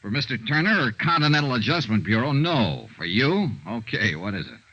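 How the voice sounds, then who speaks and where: An actor playing a wry, breezy American insurance investigator in a 1950s radio drama, with a brisk, clipped delivery. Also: male voice, medium pitch, very animated, bright, forceful tone. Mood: wry, flirtatious. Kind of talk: 1950s radio detective drama, first-person narration. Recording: dull old 1950s radio recording.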